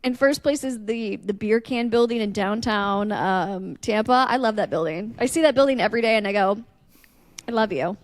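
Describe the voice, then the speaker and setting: A woman's voice: mid-range voice, moderate pace, no room sound. A woman co-hosting a podcast in casual comedic chat, joking in a playful, mock-earnest way. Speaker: podcast co-host.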